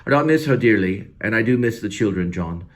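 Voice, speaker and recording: Casting: man to play a haunted ex-minister turned monster hunter, grieving father, lowish voice, solemn screenplay monologue. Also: animated, fairly quick, close to an everyday voice. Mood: mournful, earnest. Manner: wordy, solemn, repetitive monologue delivery.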